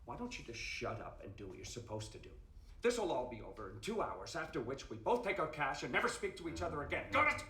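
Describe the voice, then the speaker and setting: A man's voice: fairly high pitch, big pitch swings, fast talker, pushed and bright, slight background noise. An actor playing an angry, impatient male criminal accomplice in a period crime drama, blunt and commanding, in an echoey room.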